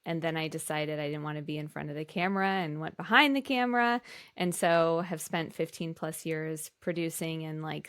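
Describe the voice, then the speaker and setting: A woman's voice: on the low side, a bit bright. A middle-aged woman telling a personal story casually on a podcast, in an informal, emphatic way. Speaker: podcast panelist.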